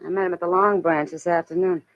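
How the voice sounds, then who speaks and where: A woman's voice: on the low side, steady tone, fast talker, close to an everyday voice. A cool, shrewd frontier saloon woman played by an actress with an American accent. She talks in a dry, understated way in 1950s radio drama style, and the old recording is muffled.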